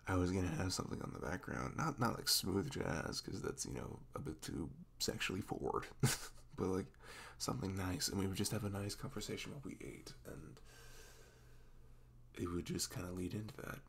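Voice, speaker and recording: A man's voice: low-pitched, creaky. A man playing a sweet, goofy, flustered young boyfriend in an intimate ASMR roleplay, stammering, apologetic and nervously tender. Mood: sheepish, nervous. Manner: stammering, halting, apologetic, breaking into soft nervous murmurs.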